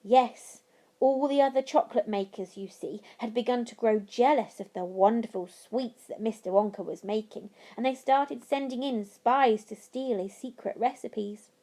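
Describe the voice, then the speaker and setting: A woman's voice: fairly high pitch, moderate pace, mellow, close to an everyday voice. Woman as a kindly old storytelling grandfather, dramatic. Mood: earnest storytelling. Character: kindly old grandfather, eagerly telling a mysterious tale.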